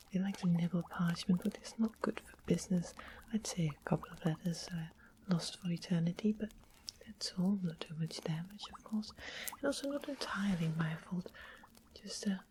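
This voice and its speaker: Woman playing a slow, whispering, sweetly sinister witch. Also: on the low side, background noise, lightly acted. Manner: whispered, coy, lingering, with doting endearments. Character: sweetly sinister, coaxing witch of a fantasy forest. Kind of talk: ASMR fantasy roleplay.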